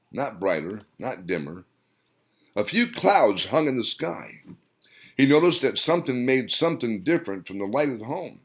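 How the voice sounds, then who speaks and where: A man narrating his own novel as an audiobook, with an expressive, dramatic reading. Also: on the low side. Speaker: author and narrator.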